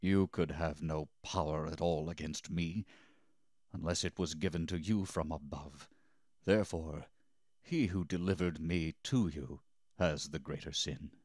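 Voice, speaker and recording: Man playing calm, solemn, deep-voiced holy prisoner. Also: a little slow, no echo, studio quality. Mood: steadfast. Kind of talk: dramatised scripture reading.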